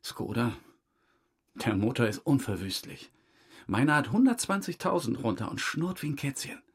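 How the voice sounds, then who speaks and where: A man's voice: mid-range voice, big pitch swings, normal speed, husky. An actor playing a talkative, defensive man questioned by police in an audio crime drama, colloquial and slightly aggrieved. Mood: chatty. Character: chatty male car owner under questioning, defensive, evasive. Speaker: witness being questioned by police.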